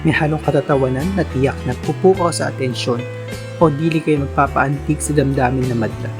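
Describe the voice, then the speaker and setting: Man narrating a radio drama over music. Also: medium pitch. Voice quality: formal, measured delivery.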